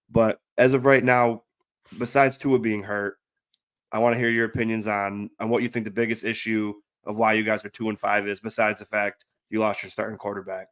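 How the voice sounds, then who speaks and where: A hoarse man hosting a casual football talk show, chatty and friendly as he steers the conversation. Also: lowish voice, a bit bright. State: hoarse from illness. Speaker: podcast host.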